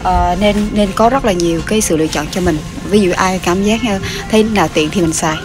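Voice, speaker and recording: A woman in her thirties chatting casually as she walks a pharmacy aisle, talking into a phone camera held close and filling pauses with hesitations and restarts. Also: lowish voice, music in the background. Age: 30s. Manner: chatty, many fillers and restarts. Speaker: shopping vlogger. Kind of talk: casual product walkthrough. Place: large pharmacy store, indoors.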